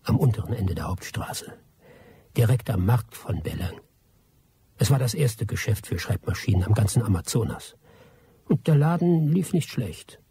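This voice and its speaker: World-weary middle-aged trader in a radio drama, really raspy low voice, dry and sceptical. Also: male voice, very animated, moderate pace, warm tone, full character voice. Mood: reflective. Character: world-weary middle-aged English expatriate typewriter dealer, gruff, sceptical. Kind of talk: radio play narration and dialogue.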